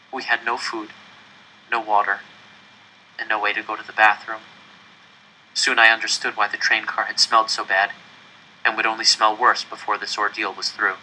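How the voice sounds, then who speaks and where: Bright, forceful male storyteller. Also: fairly low pitch, poor audio. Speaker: audiobook-style reader. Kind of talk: dramatic scripted narration of a first-person novel.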